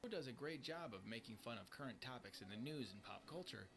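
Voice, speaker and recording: A man reading a scripted countdown narration with a lively, tongue-in-cheek delivery. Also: mid-range voice, fast talker, vocal fry.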